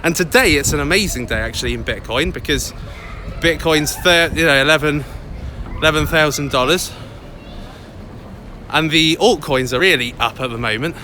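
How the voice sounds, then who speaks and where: An English man in a loud, chatty, upbeat manner, vlogging live from a busy city street into a handheld phone. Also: fairly high pitch, normal speed. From England. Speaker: crypto vlogger. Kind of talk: casual live vlog. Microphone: phone held by hand, close. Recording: outdoor street noise. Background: city traffic and passers-by.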